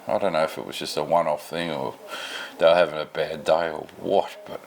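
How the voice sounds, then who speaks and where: A man's voice: low-pitched, a little hiss or hum, poor audio. A middle-aged man with an Australian accent, tired and rambling casually to camera in an unscripted home vlog. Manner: rambling, laid-back, self-deprecating. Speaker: vlogger. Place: home at night.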